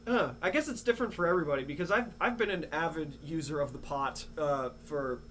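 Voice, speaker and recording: A man's voice: fairly high pitch, a little fast, fairly bright tone. A man chatting casually as a podcast host in a rambling, laid-back, profane way. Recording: clear hum and background noise.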